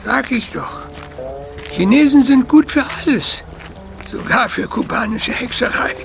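A man's voice: very high-pitched, animated, unhurried, creaky, music in the background, full character voice. A man playing a sardonic Chinese man living in Cuba in a radio drama, speaking in a wry manner.

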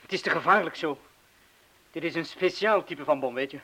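An actor playing a steady, protective bomb disposal man who gives terse instructions in a radio drama. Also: fairly high pitch, fairly quick, rough recording. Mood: tense, firm. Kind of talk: radio play acting. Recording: hissy old radio play recording.

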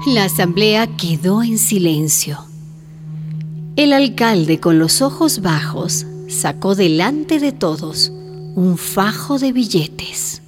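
A woman's voice: mid-range voice, expressive, takes it slowly, over music. A woman narrator delivering scripted storytelling for a radio drama.

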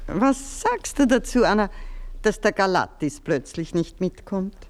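A commanding older baroness, her voice rising and falling with lively inflection. She takes charge in brisk, clipped remarks, in the manner of a classic radio play. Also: mid-range voice. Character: aristocratic older woman, the baroness of the house. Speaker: baroness and mother.

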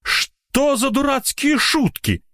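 A man's voice: high-pitched, very animated, normal speed, dry recording, studio quality, pitched above the performer's own voice. A man voicing an indignant, blustering, officious doctor in a narrated story, easily flustered and outraged. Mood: furious shouting. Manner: officious, blustering, self-justifying.

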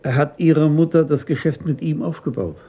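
An actor playing a polite, probing senior police commissioner in a German radio crime drama, questioning in a courteous, measured way. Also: male voice, a little high, dark tone, slight background noise, close to an everyday voice.

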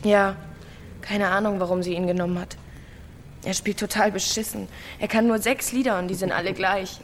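A woman's voice: mid-range voice, steady tone, moderate pace, a little hiss or hum, natural voice. A woman acts a teenage village girl in a naturalistic radio play. She is wary and blunt, answering in short, dry phrases. A shy affection sits under the guardedness. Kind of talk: naturalistic radio drama dialogue.

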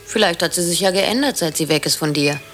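An actress playing a blunt, sceptical, unsentimental lover in radio drama dialogue, probing in a matter-of-fact way. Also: female voice, fairly low pitch, a little fast, fairly bright tone, faint music behind, lightly acted.

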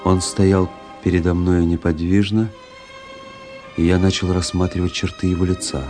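Man as a brooding officer telling his story, very low soft-toned voice, faint music, radio play. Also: level tone, lightly acted. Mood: pensive, uneasy. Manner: pensive, measured storytelling. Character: reflective army officer recounting his travels. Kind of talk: scripted literary narration in radio drama.

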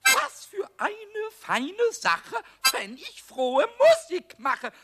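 A man voicing a cheeky, boastful little kobold in a children's radio play, childlike and gleeful, squeaky and piercing, with excited sing-song delivery. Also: drawn-out words, slight background noise, heavily acted. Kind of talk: 1970s German children's radio play acting.

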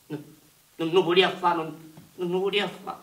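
A man's voice: high voice, steady tone, moderate pace, a bit bright. An actor playing a shy, innocent, guilt-ridden young infantryman in a war drama, speaking earnestly. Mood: anguished. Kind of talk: live stage or radio war drama acting. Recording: roomy, distant microphone, music behind.

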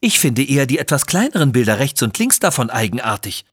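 Man putting on a skeptical lady, lively intonation. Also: mid-range voice, fast, husky, dry recording, clean studio sound. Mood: curious. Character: sharp, skeptical lady adventurer.

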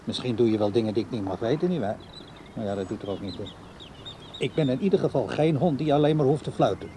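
An actor as a mellow-voiced, needy old man. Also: medium pitch, lively intonation, quick pace, a little hiss or hum, natural voice. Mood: wounded, suspicious. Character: insistent, needy old man courting a much younger woman. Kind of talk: naturalistic radio play dialogue.

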